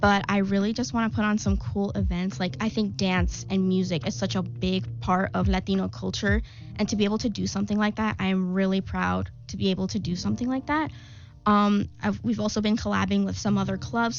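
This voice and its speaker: A woman's voice: medium pitch, lo-fi sound. A young woman from Long Island, a student club president, answering interview questions in a chatty, enthusiastic way with many fillers. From Long Island, New York. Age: young adult.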